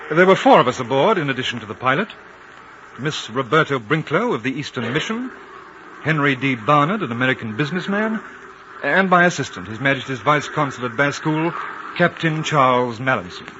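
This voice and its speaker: An actor playing a composed, courteous British consul in a radio drama, with understated delivery. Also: male voice, medium pitch, close to an everyday voice. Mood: reflective. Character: composed, courteous British consul, adventurer recalling his journey. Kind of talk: 1950s radio drama acting and narration. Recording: noisy, muffled old radio broadcast.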